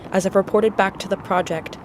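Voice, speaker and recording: A woman's voice: mid-range voice, steady tone, fairly quick, background noise, lightly acted. An actress playing a composed American woman scientist, recording a scripted field report in an audio drama. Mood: matter-of-fact.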